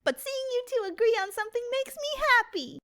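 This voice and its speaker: An ever-cheerful winged unicorn mom from a cartoon tale. The voice is high and swings widely up and down. She gushes affectionately, with a bubbly sing-song lilt. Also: big pitch swings, brisk, fairly bright tone, heavily acted. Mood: delighted, doting. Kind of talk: dramatic reading of a cartoon fan story.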